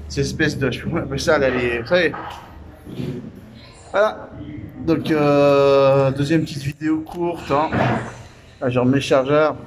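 A man's voice: a little high, background noise. Rambling man vlogging on his phone. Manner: chatty, rambling, many fillers. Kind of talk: casual vlog to camera. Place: small restaurant, indoors. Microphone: phone at arm's length. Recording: phone video with crowd chatter.

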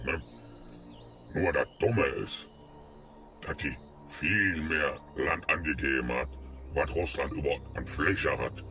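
A man's voice: a bass voice, pushed and bright. A man complaining and agreeing in a comedy sketch. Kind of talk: ranting comedy dialogue. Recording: faint music behind voice. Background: music.

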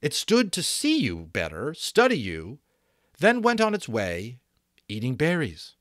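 A man in his thirties reading a novel aloud as dramatic narration, with deliberate pauses and stressed repeats, close to a microphone. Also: medium pitch, wildly swooping pitch, dry recording. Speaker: audiobook reader. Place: small room at home. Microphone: desk microphone close in front.

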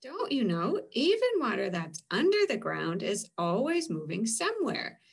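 A woman voicing a breezy, relaxed female raindrop, chirpily explaining her travels in a comic strip read-aloud. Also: mid-range voice, normal speed, creaky, husky, exaggerated voice.

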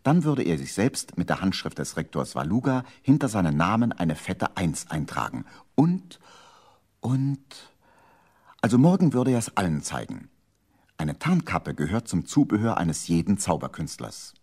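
A male narrator with a low voice tells a seventies children's radio tale. His delivery is theatrical and emphatic, and his pitch moves in a lively, wide range. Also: moderate pace. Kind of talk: scripted storytelling for a 1970s children's radio play.

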